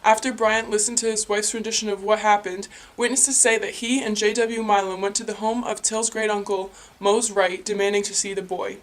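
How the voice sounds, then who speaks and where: A woman's voice: medium pitch, flat delivery, moderate pace, hardly any pauses, rough recording. A woman reading a scripted news report as an anchor. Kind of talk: scripted newscast narration.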